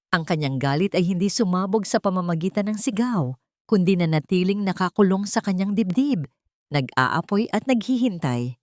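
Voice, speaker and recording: A woman narrates a scripted audio drama in a slightly low register. Her delivery is measured and solemn, like serious storytelling. The sound is dry, with very little room in it. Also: darkish tone, no room sound.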